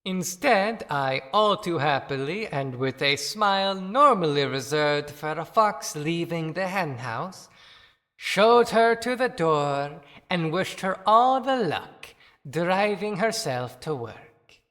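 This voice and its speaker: In a long reverb, a man plays a sardonic husband freed from a bitter union. The delivery is slow and formal, with a bright edge, like a stage monologue for an audio play. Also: a little high, lively intonation, heavily acted. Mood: bitter, wry. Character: bitter freed husband, wry and grandly wordy.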